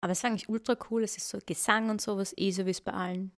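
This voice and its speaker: A woman co-hosting a casual podcast chat, throwing in quick, wry interjections. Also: mid-range voice, steady tone. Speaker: podcast co-host.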